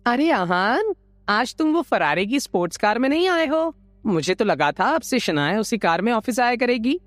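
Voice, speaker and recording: A woman's voice: fairly high pitch, big pitch swings, moderate pace, no echo, soft background music. A woman acting a spiteful, haughty young schemer in an audio drama, with a mocking, sneering manner.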